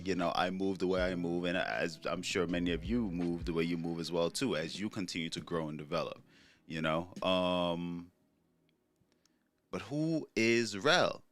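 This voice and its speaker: A man's voice: low-pitched, moderate pace, a bit bright. A young man from Trinidad hosting a solo podcast, talking in a laid-back, rambling way with many fillers and casual swearing. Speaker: podcast host.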